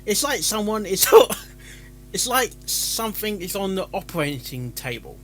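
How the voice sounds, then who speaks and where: A man hosting a reaction video, chatting casually and breaking in with excitable exclamations and questions. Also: sits high, measured pace, faint music behind.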